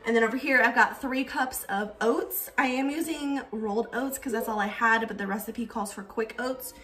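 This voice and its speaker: A woman's voice: a little high, moderate pace, sharp tone, recorded from a distance. A young woman casually narrating a cook-along in her home kitchen, explaining each step with friendly fillers. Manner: chatty, explaining steps with fillers. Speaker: cooking vlogger.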